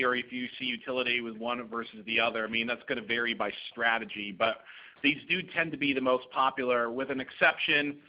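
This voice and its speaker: Brisk male lawyer presenting, muffled sound. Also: medium pitch. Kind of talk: seminar presentation. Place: conference room with a live audience.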